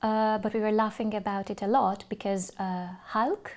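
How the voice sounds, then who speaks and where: A Hungarian woman speaking English as a podcast guest, telling stories thoughtfully with many pauses and restarts. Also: medium pitch, steady tone, darkish tone. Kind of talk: casual interview chat.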